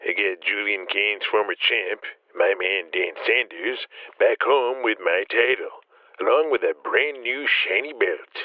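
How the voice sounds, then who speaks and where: Tough blunt wrestling boss on the phone, lowish voice, thin tinny line, dry. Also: male voice, a little slow, no echo. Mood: blunt, assured. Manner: blunt, assured, talkative. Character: tough profane male wrestling promoter, loyal, confiding. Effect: telephone filter. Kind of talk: audio drama dialogue.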